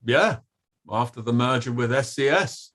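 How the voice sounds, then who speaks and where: An actor playing a defensive, evasive company safety official testifying at an inquiry in a play reading, formal and guarded. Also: male voice, mid-range voice, normal speed, a bit bright, natural voice. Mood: curt, guarded. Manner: guarded, formal, clipped denials with hesitant hedging. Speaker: actor in an online table read.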